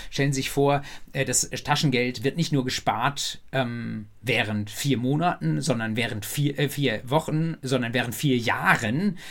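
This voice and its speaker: A man's voice: mid-range voice, creaky. A man giving a university law lecture, explaining freely in a colloquial way and addressing his students directly.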